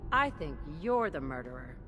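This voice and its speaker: A woman's voice: rumbling low, sing-song, moderate pace, music in the background. An actress playing a self-assured, direct female detective in a video game. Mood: probing.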